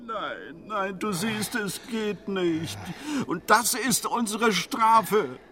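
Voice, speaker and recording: An actor playing a hesitant, sentimental killer's accomplice in an absurdist radio play, halting and plaintive. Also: male voice, sits high, animated, pushed and bright, heavily acted. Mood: anguished, pleading.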